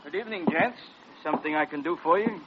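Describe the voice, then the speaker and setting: An actor playing an evasive, slangy American pawnbroker who repeats himself nervously in an old-time radio drama. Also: male voice, fairly high pitch, quick pace, natural voice. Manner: streetwise slang, stammering repeats. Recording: dull old radio recording, faint noise.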